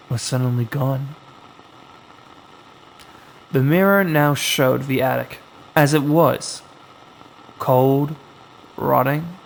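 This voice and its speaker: Very slow, gravelly male horror storyteller. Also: mid-range voice, a little hiss or hum, rough recording. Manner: slow, dramatic, suspenseful delivery with long pauses. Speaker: storyteller, host of a horror anthology. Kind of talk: scripted horror story narration.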